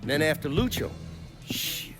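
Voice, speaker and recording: A crime patriarch in his sixties, tired and stern. His slightly high voice moves a lot in pitch as he lectures bluntly. Loud music plays behind this game cutscene. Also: very animated, moderate pace. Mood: weary, stern. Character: weary, stern aging mob boss father. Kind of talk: dramatic game cutscene acting.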